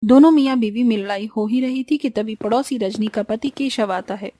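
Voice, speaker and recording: A woman's voice: fairly high pitch, moderate pace, warm tone, no room sound. A woman narrating a scripted cartoon family story.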